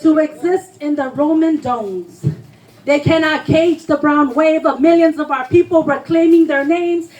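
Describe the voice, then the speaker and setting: A woman's voice: sits high, unhurried, darkish tone, poor audio. A woman poet giving an impassioned, emphatic spoken word performance at a live reading, declaiming her lines. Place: live poetry reading before a responsive audience.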